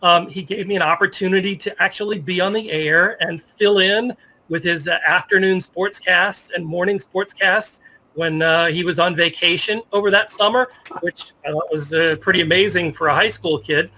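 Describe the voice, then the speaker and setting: Bright, high-voiced American man in his fifties. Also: steady tone, moderate pace, a little hiss or hum, low-quality recording. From Virginia, United States. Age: 50s. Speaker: interview guest, sports media professional. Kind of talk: casual interview storytelling.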